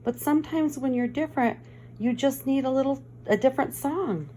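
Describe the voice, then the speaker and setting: A little storybook cricket, polite and wise, as a woman reader puts it on. The voice is high and quick, gently encouraging the listener. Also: a little hiss or hum, lightly acted.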